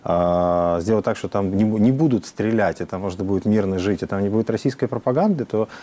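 A man's voice: fairly low pitch, fairly quick, dark tone. A man from Ukraine, a member of parliament answering interview questions in an earnest, explanatory way, with frequent hesitations.

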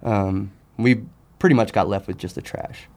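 A man's voice: lowish voice, steady tone, moderate pace, darkish tone. A man chatting casually as a podcast guest, talking in a candid, slangy way. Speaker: podcast guest, sneaker customizer. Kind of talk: casual chat.

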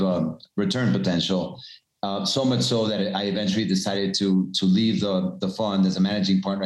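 Guest investor, male, low voice, calm and businesslike, roomy echo. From Colombia. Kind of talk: conversational interview answers.

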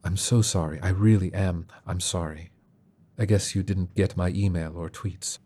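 A man voicing a calm, gentle man with a soft, soothing, unhurried delivery. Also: deep voice, slightly rough. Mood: apologetic.

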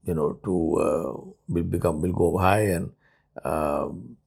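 A man in his seventies from India, whose first language is Urdu, hosting a solo podcast in a thoughtful, unhurried way with many pauses. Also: low voice, vocal fry, darkish tone, husky. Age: 70s. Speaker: podcast host. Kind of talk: reflective solo podcast monologue.

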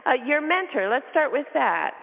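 A woman's voice: sits high, sing-song, normal speed, gravelly. A woman hosting an interview in a loose, chatty way with fillers. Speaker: host. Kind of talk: casual interview talk. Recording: tinny phone line with reverb.

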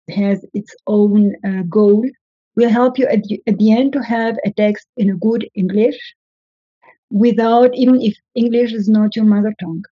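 A woman, a writing coach giving measured, instructive advice in an interview. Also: medium pitch, slightly rough, dry recording, muffled recording. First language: French. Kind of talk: interview answers, advising.

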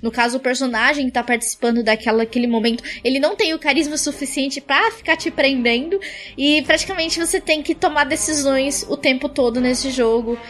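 A woman's voice: high-pitched, fairly quick, fairly bright tone. A woman with a Brazilian Portuguese accent, chatting casually as a podcast co-host giving game recommendations. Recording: faint music behind the voice. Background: faint background music.

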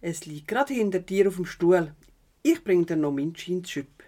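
Woman acting easygoing Swiss German mother, lower voice. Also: animated, normal speed, warm tone. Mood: reassuring. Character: easygoing, teasing, supportive mother of a teenage daughter. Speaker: actor in an audio drama. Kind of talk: youth radio play dialogue.

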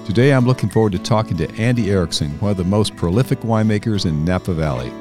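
A man's voice: fairly low pitch, expressive, quick pace, over music. A man from the Chicago area hosting a wine podcast, friendly and joking as he chats with a guest. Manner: friendly, upbeat, jokey. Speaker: podcast host and vintner. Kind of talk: casual interview chat.

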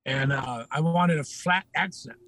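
An older man with a Texan accent tells stories as a guest, laughing often. His voice sits high for a man and his voice is slightly changed by breathing trouble. The sound is dry and close. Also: a little slow, a bit bright, dry recording. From Texas. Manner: laughing, anecdotal storytelling. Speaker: guest, former TV news anchor. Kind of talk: casual interview.

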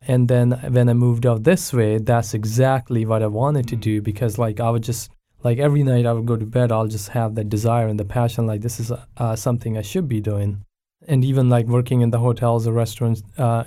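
A man in his thirties from Pakistan, speaking as a guest pastor in a podcast interview. His voice is mellow and warm at a middling pitch, and he tells his story loosely, with frequent fillers and restarts. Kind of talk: casual conversational interview.

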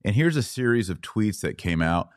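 An American man with a deep voice delivers news commentary as a podcast host. He talks straight to his listeners in an emphatic, conversational way, and the sound is dry and close. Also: big pitch swings, normal speed, dry recording. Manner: conversational, emphatic, rhetorical questions. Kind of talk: news commentary to a live online audience, reading out quotes.

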